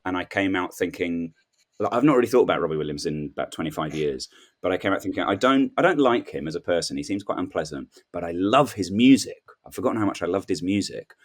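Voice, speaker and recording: A man's voice: sits low, animated, fast. A man chatting casually as a guest on a comedy podcast, telling whimsical, rambling anecdotes and acting out little quotes. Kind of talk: casual comic chat.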